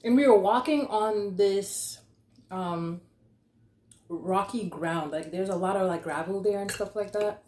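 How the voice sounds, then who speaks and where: A woman's voice: medium pitch, a little slow, darkish tone. A young woman casually telling a story in a chatty, slangy way, acting out what people said. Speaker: storyteller, vlog host. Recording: roomy, echoey sound.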